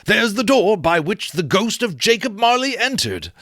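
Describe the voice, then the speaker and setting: Casting: male reader as an excitable old man, high, swooping voice, breathless exclamations, classic storybook character. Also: moderate pace, no echo, studio quality. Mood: giddy joy.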